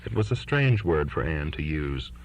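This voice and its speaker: A man's voice: a bass voice, big pitch swings, normal speed. A man reading literary fiction aloud as a scripted audiobook narration, in a lo-fi recording. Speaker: audiobook reader. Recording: dull lo-fi recording.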